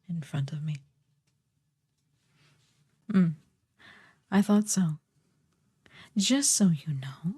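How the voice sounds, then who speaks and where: Evil sorceress queen, played by a woman: lowish, mellow and breathy, unhurried, haughty and ruthless, for fantasy ASMR roleplay. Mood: offended, menacing. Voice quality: soft, breathy, partly whispered. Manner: slow, condescending, commanding.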